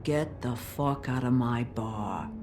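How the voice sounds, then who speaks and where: An actress playing a tough, tired bar owner in a video game, blunt, curt and foul-mouthed. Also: female voice, extremely low pitch, slow. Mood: bitter, grieving. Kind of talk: dramatic video game acting. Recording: background noise, room ambience.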